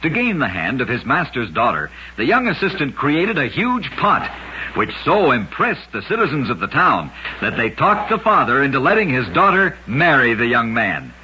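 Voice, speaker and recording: A man's voice: medium pitch, huge pitch swings, normal speed, sharp tone, muffled recording. A man narrating short oddity stories as a radio host, with a dramatic, emphatic scripted delivery on a vintage recording. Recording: noisy, band-limited vintage radio recording.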